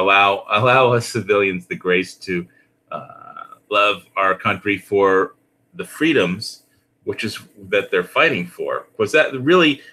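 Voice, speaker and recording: A man talking casually and ramblingly, in a halting way that often repeats and restarts phrases. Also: fairly low pitch, pushed and bright.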